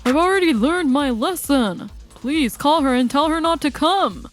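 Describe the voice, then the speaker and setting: A cowardly, lazy divorced father played by a woman in a manga dub. The voice is high-pitched, whiny and flustered, given to pleading, over faint music. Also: animated, moderate pace.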